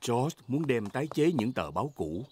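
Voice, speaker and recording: A man playing a storybook narrator, reading scripted cartoon narration for small children in an expressive way. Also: mid-range voice, normal speed, heavy background noise, lightly acted. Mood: storytelling. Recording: loud cartoon music behind the voice.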